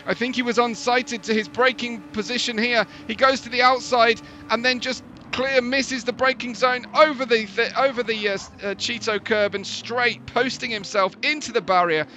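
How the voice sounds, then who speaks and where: A man with a tired, gravelly voice commentating a sim racing live stream, chatting between bursts of race calls. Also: very high-pitched, moderate pace, hardly any pauses, slight background noise. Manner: chatty, laughing asides, excitable during race action.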